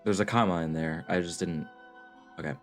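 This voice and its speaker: Deep voice guy streaming a game, casual rambly jokey commentary, some music in the back. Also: a little fast.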